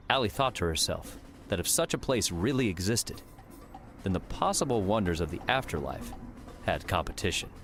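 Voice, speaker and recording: Narrator for fantasy audiobook: man, low register, scripted storytelling delivery, light music bed.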